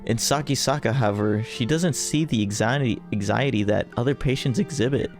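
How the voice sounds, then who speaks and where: A man's voice: medium pitch, brisk, soft background music. A man reading a visual novel aloud and adding casual, sweary commentary as a gamer. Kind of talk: read-aloud narration with casual joking commentary.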